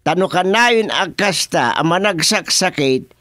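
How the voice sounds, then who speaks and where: A man's voice: sits high, lively intonation, a little slow, pushed and bright, no room sound, studio quality, full character voice. An actor with an Ilocano Filipino accent playing a stern, dismissive village chairman who scolds and mixes in English, in a comedy radio drama. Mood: stern, scolding. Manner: scolding, mixes in English, repeats words for emphasis.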